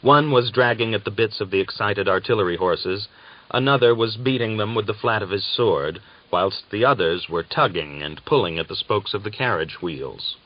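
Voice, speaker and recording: A man narrating a novel as an audiobook, with a measured, deliberate and dramatic literary delivery. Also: fairly low pitch. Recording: dull, lo-fi audiobook recording.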